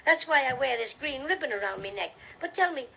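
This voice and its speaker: A cheerful little teddy bear with a gravelly Irish lilt. The voice sits high and rough, and it comes through a dull, lo-fi old radio recording. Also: male voice, animated, a little fast, pushed and bright, slight background noise, heavily acted. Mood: friendly. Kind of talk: 1930s radio play acting.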